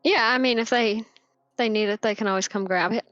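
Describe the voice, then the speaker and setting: A woman playing in a tabletop game, talking quick and relaxed. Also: a little high, no echo, dull audio, soft background music. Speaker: player. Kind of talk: casual in-character play.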